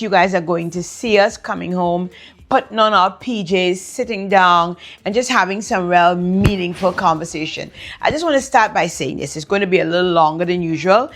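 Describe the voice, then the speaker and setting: A woman in her fifties hosting her own vlog, chatting casually and talking straight to her viewers. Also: fairly low pitch. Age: 50s. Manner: chatty, addresses viewers directly. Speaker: vlog host.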